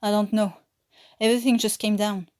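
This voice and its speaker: An excitable Frenchman in a wartime prison camp, acted out by a woman reading a story. He has a French accent and an indignant temper, and he speaks in emphatic exclamations. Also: mid-range voice, normal speed, close to an everyday voice. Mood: shaken, explaining.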